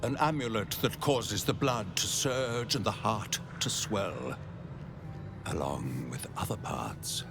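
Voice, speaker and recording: An actor playing an obsequious, lewd archivist of hell in a video game, with florid, formal, theatrical phrasing. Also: male voice, fairly low pitch, vocal fry, heavily acted. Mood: unctuous, lewd. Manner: fawning, florid, formal, drawn-out. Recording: game music behind. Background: music.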